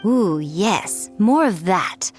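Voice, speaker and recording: Sly game lady, teasing, self-assured, theatrical, very lively pitch. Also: very slow, faint music behind. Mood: amused, coolly teasing. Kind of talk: scripted video game dialogue.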